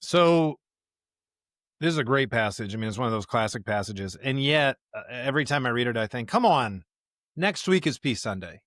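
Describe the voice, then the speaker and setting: A man's voice: medium pitch, expressive, moderate pace, fairly bright tone, no echo, clean studio sound. A man co-hosting a podcast, discussing scripture conversationally and emphatically, with stop-start phrasing and rhetorical questions. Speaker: podcast co-host, member of clergy.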